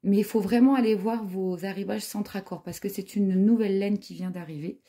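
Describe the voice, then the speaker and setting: A woman's voice: medium pitch, steady tone, a little fast, mellow. A woman in her forties chatting casually about yarn she found, hesitant with many fillers and restarts. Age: 40s. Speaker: knitting vlogger. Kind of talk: casual product review chat.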